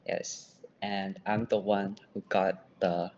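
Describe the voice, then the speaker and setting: A man's voice: low voice, little pitch movement, slow, darkish tone, highs cut off, a little hiss or hum. A young man with a Burmese accent answering as a student guest in a casual podcast interview, self-deprecating and hesitant. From Myanmar. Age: young adult.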